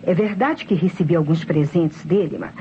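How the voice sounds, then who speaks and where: Actress as genteel, defensive wife, muffled radio sound. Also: female voice, fairly low pitch, normal speed, dark tone, natural voice. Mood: defensive, pleading. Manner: formal, hesitant, pleading. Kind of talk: old radio drama acting. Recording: muffled, faintly noisy old radio recording.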